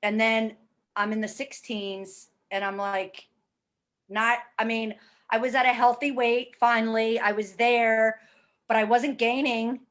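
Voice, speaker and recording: Woman in her forties, fairly high voice, slow and deliberate, emphatic, blunt and casual, personal testimonial. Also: steady tone, fairly bright tone. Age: 40s. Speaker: fitness program client. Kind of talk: candid testimonial conversation.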